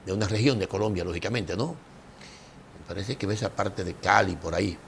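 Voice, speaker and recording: A man's voice: low voice, moderate pace, creaky, fairly bright tone, slight background noise. A man hosting an interview who keeps the conversation going with short agreements and prompting questions.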